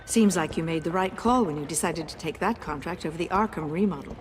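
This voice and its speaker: Game role: prying, businesslike chairwoman female actor, lowish register, brisk corporate polish. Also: faint music behind, natural voice. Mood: businesslike, curious. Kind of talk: video game voice acting.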